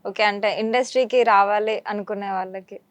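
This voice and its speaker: A woman's voice: mid-range voice, steady tone, normal speed, no echo. A woman interviewing in a conversational, respectful and agreeable way, mixing English words into her speech.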